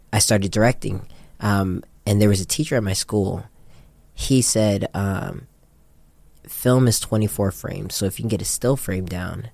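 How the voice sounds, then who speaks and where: A man's voice: fairly low pitch, vocal fry, darkish tone, dry recording. An American man speaking casually and candidly as an interviewed director, telling anecdotes with plenty of slang. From Japan. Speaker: interviewed music video director and photographer.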